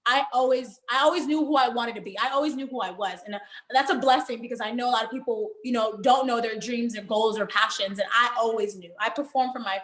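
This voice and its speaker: A woman's voice: on the high side, fast talker, few pauses, a bit bright, reverberant. A young woman from Haiti raised in Miami, talking animatedly and laughing often as an interview guest. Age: young adult. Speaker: guest, performer. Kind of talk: casual interview.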